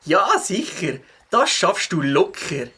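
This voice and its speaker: Woman voicing a husky, lively, helpful young beaver. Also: on the low side, takes it slowly, exaggerated voice. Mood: encouraging. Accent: Swiss German. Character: young beaver, helpful, confident, practical bike rider. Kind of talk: children's audio play acting.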